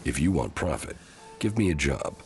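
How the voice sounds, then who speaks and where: A man playing a stoic, hardened commander in a post-apocalyptic video game, gravelly, terse and deadpan. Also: extremely low pitch, normal speed, faint music behind. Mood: blunt, confident.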